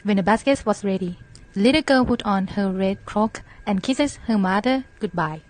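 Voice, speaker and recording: A woman's voice: medium pitch, normal speed. A woman narrating a scripted children's story as moderator.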